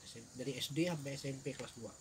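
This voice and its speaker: A man's voice: medium pitch, racing, a little hiss or hum. A young man from Indonesia chatting casually, telling a long story with restarts, fillers and frequent laughs. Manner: laughs often, tells stories with restarts and fillers. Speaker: guest. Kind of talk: casual chat.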